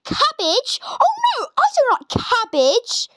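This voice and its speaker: A woman's voice: very high voice, big pitch swings, slow, fairly bright tone, no room sound, clean studio sound, pitched above the performer's own voice, full character voice. A woman voicing a polite eight-year-old boy who pleads and protests in a read-aloud story. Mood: pleading.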